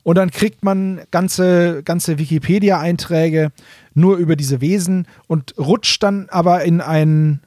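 A middle-aged German man with a slightly high voice co-hosts a podcast. He explains things in casual fan talk, often restating himself as he goes. The sound is dry and close. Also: slow pace, darkish tone, no echo. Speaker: podcast co-host reviewing audio dramas. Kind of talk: casual, enthusiastic fan chat.

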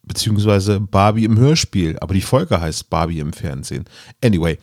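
A man's voice: sits low, expressive, moderate pace, darkish tone, dry recording, studio quality. A man giving a casual podcast introduction and giveaway announcement.

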